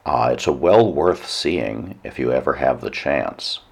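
A very deep, gravelly male voice, hoarse and nearly gone, speaking slowly. He delivers a solo radio monologue in a measured, lecturing way with dry little asides. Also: animated. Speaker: radio show host.

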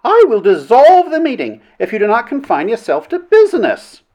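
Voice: medium pitch, sing-song, a little fast, voice pushed up. A narrator voicing an imperious princess in a story, scolding formally and with command. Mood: stern. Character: stern, imperious princess chairing a meeting.

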